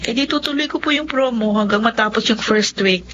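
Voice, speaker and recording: An actress playing a weary, earnest young eldest daughter in an emotive Filipino radio drama. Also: female voice, on the high side, a little fast, fairly bright tone, a little hiss or hum, natural voice. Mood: grateful, hopeful. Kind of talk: Filipino radio drama acting and first-person narration. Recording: dull lo-fi audio with music behind.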